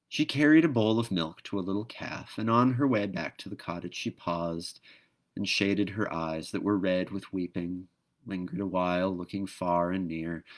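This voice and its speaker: A man reading a children's book aloud as an expressive narrator. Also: sits low. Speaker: storybook reader.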